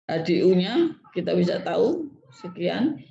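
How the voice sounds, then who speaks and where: A lecturer with a gravelly, mellow voice teaches step by step. Points get repeated, with frequent little check-in tags. The recording is dull and full of room echo. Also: medium pitch, big pitch swings, measured pace. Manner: repetitive, checks in with a tag word after statements. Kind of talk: step-by-step technical lecture to students.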